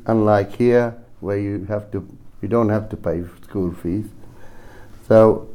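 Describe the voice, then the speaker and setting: A man with a Fijian accent gives an unscripted personal testimony to a group, halting and often correcting himself as he goes. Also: on the low side, mellow. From Fiji. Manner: halting, with repeated self-corrections. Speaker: speaker sharing his story at a recovery meeting.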